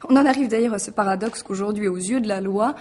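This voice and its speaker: An actress playing a polite, guarded mistress in a French radio mystery drama, hesitant and questioning. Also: female voice, medium pitch, fast talker, darkish tone, slight background noise, natural voice. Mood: confiding.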